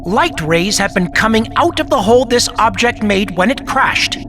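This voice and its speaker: A man voicing a radio news announcer who reads an urgent bulletin in a rapid, clipped delivery. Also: high voice, expressive, noisy background, voice pushed up.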